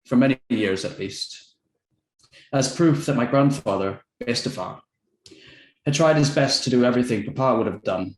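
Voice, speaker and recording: A man's voice: mid-range voice, moderate pace, echoey room, low-quality recording. A man moderating a book talk, modestly reading an English translation aloud and asking questions. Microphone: video call.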